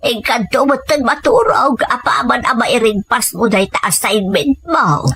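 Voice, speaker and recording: A woman playing a caring, fretful old grandmother with a drawn-out, doting delivery in an audio drama. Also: on the high side, vocal fry, a bit bright, husky, no room sound, clean studio sound, heavily acted. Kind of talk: Ilocano audio drama acting.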